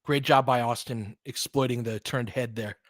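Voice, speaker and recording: Man, medium pitch, dry sound, casual basketball commentator, partisan, reactive, informal play-by-play. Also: fairly even intonation, moderate pace, a bit bright, no room sound. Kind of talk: casual fan-style live basketball commentary.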